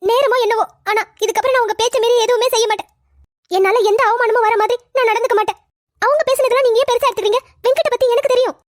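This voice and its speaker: A woman's voice: extremely high pitch, steady tone, rapid-fire. A woman playing a devoted young daughter-in-law, speaking earnestly and pleadingly in a melodramatic drama serial. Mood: remorseful.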